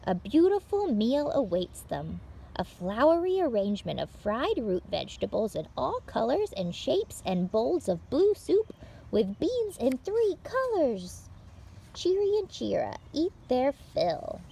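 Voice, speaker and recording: A woman hosting story time for young children, reading aloud in an animated, encouraging way with simple phrasing. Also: sits high, a little slow, creaky, breathy edge.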